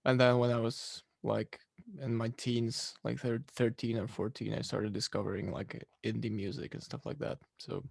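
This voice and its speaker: A young man from Poland, a musician guest, answering interview questions in a relaxed, rambling way with lots of fillers. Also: medium pitch, normal speed, vocal fry, dry recording.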